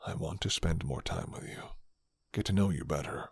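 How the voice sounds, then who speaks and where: A man voicing a tender, grateful werewolf in a romantic ASMR roleplay, speaking softly and hesitantly in a low, husky, breathy voice. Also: lively intonation, no room sound, exaggerated voice. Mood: tender, earnest. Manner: slow, soft, hesitant, sighing. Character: handsome male werewolf, tender, grateful, smitten.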